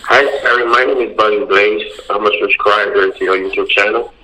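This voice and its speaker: Deep-voiced Haitian man on the phone. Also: fairly even intonation, a little fast, bright, forceful tone, slight background noise. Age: 30s. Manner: hesitant, many fillers and restarts. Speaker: caller. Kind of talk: casual phone call. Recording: phone line.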